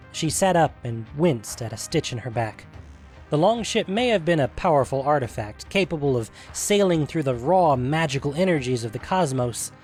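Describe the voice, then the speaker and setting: A man's voice: fairly high pitch, a little mellow. A man narrating a scripted fantasy story as the reader of a story podcast. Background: music.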